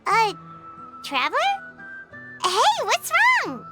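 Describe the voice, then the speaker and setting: A woman voicing a tiny, childlike female fairy companion in a video game, with a squeaky voice and a perky, curious, excitable manner. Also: takes it slowly, heavily acted. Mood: puzzled, chatty. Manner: speaks of herself in third person, excitable. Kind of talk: animated video game voice acting. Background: music.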